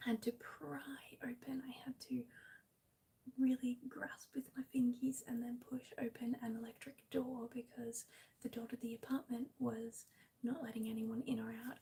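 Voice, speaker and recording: A woman with an Australian accent chatting gently in a hushed, breathy, near-whispered ASMR live stream style. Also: fairly high pitch, low-quality recording. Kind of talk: soft-spoken ASMR live chat with viewers.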